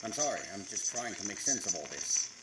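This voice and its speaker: An actor playing a polite, fussy older museum proprietor with formal, genteel speech in a 1940s-style radio drama. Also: male voice, fairly low pitch, sing-song, a little fast, echoey room. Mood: nervous, polite. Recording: very noisy recording with loud sizzling hiss.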